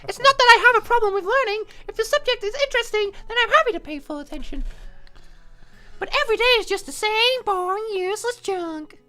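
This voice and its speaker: Man putting on a very high-pitched whiny anime schoolgirl. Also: quick pace, sharp tone, faint music behind, full character voice. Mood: whiny, bored. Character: bored, rebellious teenage anime schoolgirl heroine. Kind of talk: hammed-up visual novel roleplay.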